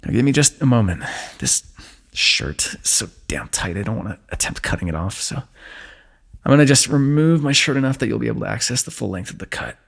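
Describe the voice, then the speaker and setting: A man playing a weary, wry fantasy warrior in an ASMR roleplay, speaking in measured, formal phrases with dry teasing. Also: medium pitch, expressive, gravelly. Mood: calm, wry. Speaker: ASMR voice actor. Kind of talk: ASMR fantasy roleplay, one-sided dialogue.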